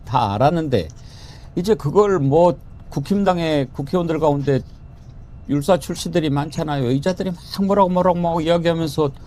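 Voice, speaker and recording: A man hosting a political panel talk, lively and joking, throwing questions to guests. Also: a little high, slight background noise. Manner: lively, joking, quotes with raised voice. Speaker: talk show host.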